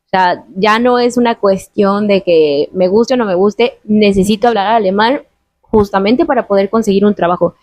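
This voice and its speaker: A woman's voice: mid-range voice, normal speed, darkish tone, dry recording, highs cut off. A Mexican woman hosting an interview podcast, talking earnestly and giving advice in casual chat. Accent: Mexican Spanish. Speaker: host.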